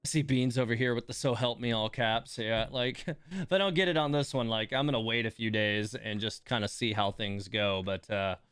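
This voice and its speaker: A man's voice: lowish voice, steady tone, normal speed, fairly bright tone, clean tone, no echo, studio quality. A man livestreaming a game, giving casual running commentary and grumbling with mild swearing.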